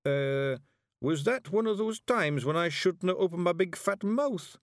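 A man's voice: high-pitched, animated, a little slow, no room sound, studio quality, pitched above the performer's own voice. A man voicing a clumsy, tactless tiny fairy man, cheerful and blurting. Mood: sheepish.

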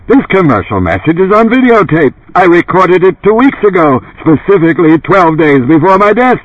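A man voicing a brash older tycoon turned television pitchman in an audiobook, gravelly and emphatic, with a salesman's delivery. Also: sing-song, normal speed, no echo, dull audio. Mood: impatient, brash. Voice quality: gravelly, dark, resonant. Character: dead older tycoon as booming, blunt TV pitchman.

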